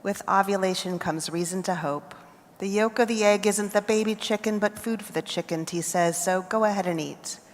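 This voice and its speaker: An American woman poet reading her poems aloud at a festival, with measured, deliberate phrasing and pauses between lines. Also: mid-range voice. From United States. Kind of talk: poetry reading. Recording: reverberant hall.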